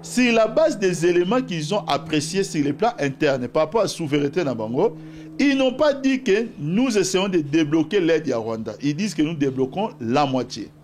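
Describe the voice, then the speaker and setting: A man's voice: fairly high pitch, moderate pace, slight background noise. A man from the Congo with a Congolese accent, a political guest arguing his case in a debate and quoting scripture. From DR Congo, Bas-Congo. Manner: animated, argumentative, cites scripture.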